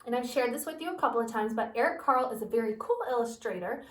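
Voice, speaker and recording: A woman who teaches kindergarten reads a picture book aloud, pausing to explain things gently to young children. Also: a little high, far from the mic. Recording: echoey room, voice off-mic.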